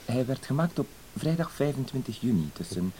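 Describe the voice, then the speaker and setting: A man's voice: mid-range voice, sing-song, a little fast, warm tone, close to an everyday voice, poor audio. An actor in a radio play playing a timid, apologetic man who speaks with hesitant, stammering, insistent politeness. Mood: politely insistent. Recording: hissy old radio play recording.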